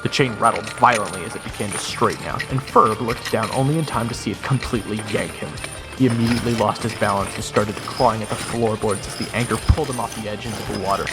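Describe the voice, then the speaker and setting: A man's voice: lowish voice, quick pace. A man giving first-person dramatic scripted narration as the hero of a fantasy audio roleplay. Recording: long reverb, music under the voice.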